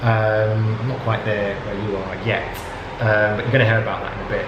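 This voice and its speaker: Relaxed man host in an echoey room. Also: fairly low pitch, fairly quick, muffled recording. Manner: relaxed, rambling with many fillers. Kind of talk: casual interview. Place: indoors at a yoga retreat. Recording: echoey room with background noise.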